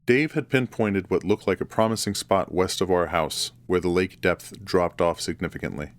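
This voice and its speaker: A man's voice: low-pitched, a little hiss or hum. A man reading a scripted first-person horror story as its narrator. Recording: music bed under the voice. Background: background music.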